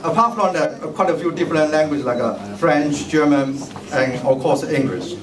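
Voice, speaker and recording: Middle-aged Chinese-native man, formal ceremonial speech, slightly high voice, distant mic in a roomy hall with noise. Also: moderate pace, a crowd in the background. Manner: formal, ceremonial, thanks many guests by name. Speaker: mayoral candidate giving a speech. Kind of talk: bilingual public speech, switching English and Chinese. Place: large hall at a tea party event. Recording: echoey hall, distant mic, noisy ambience.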